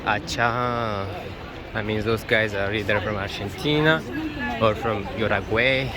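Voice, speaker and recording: Man vlogging over loud street noise. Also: lowish voice, measured pace. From Tunisia. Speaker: travel vlogger. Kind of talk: casual walking vlog with personal reflections. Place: outdoors on a busy tourist street. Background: street ambience, passing vehicles.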